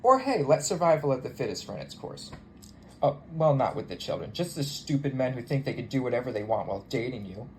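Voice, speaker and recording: A man's voice: mid-range voice, big pitch swings, a little fast, a little mellow, a little hiss or hum, low-quality recording. Bitter, sarcastic jilted flight attendant, acted by a man. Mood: sardonic. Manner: sarcastic, wounded, emphatic. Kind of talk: theatrical solo monologue as cabin announcement.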